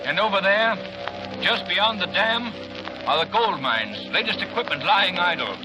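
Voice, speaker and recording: An actor playing a gallant adventurer hero who flies a plane, speaking urgently and theatrically, in 1930s radio drama style. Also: male voice, sits high, expressive, normal speed, over music, low-quality recording. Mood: intense. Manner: urgent, declamatory. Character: gallant man-about-town hero, pilot. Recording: old radio broadcast with hiss.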